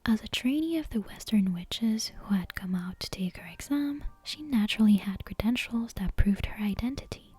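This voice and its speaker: A woman reading a bedtime story as ASMR in a soft, breathy, unvoiced whisper, with hushed and deliberate narration. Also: mid-range voice, expressive. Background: soft game soundtrack music.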